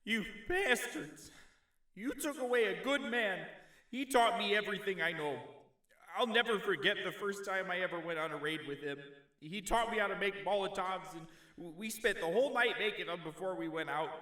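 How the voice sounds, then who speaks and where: Young raider thug played by a man: high, bright, strained voice, crude and sentimental, rambling, darkly comic audio drama. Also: normal speed. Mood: grieving, nostalgic. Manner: rambling, emotional, crude swearing. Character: young male raider, crude, bloodthirsty, sentimental grieving son. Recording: echoey room, distant from mic.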